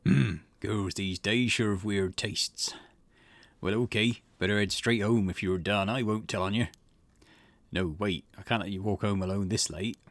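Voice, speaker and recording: A man plays a gruff, caring school janitor from an anime game. His voice is fairly low and closely recorded, with a dry sound and a folksy, grumbling delivery. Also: fairly even intonation, measured pace, no echo.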